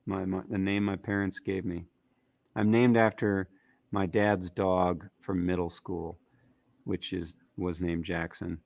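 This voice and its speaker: A man with a raspy, gritty voice, talking casually as a musician guest in an interview, rambling with fillers. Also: low-pitched, slow, no echo. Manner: relaxed, rambling with fillers and restarts.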